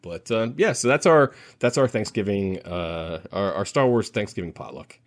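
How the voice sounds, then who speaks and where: A man's voice: fairly low pitch, expressive, moderate pace. A man co-hosting a podcast in casual, rambling, joking banter. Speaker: podcast co-host. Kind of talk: casual chat.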